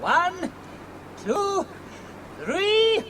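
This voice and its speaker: A man's voice: very high voice, big pitch swings, drawn-out words, bright, forceful tone, a little hiss or hum, heavily acted. A man playing a pompous, foppish villain's henchman in a children's audio drama, drawling with a silly verbal tic. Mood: foppish, shivering.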